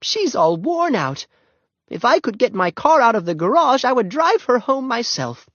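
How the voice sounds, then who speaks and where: A man voicing a fussy, apologetic older woman neighbor who gushes in high, drawn-out exclamations. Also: sing-song, a bit bright, dry recording, full character voice. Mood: gushing. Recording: dull lo-fi dry recording.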